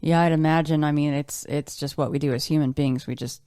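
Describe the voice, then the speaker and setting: A woman with a low-pitched, mellow voice hosts a casual interview. She speaks reflectively and conversationally, recorded dry with no room sound. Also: fairly even intonation, a little fast, clean tone, no room sound. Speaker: podcast host, interviewer.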